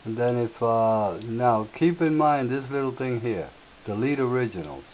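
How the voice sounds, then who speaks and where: A man with a slightly raspy voice, hosting a software tutorial and explaining each step in an unhurried way with filler words. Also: mid-range voice, expressive. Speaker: tutorial host. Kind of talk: screen-share software tutorial. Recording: tinny lo-fi audio with faint hiss.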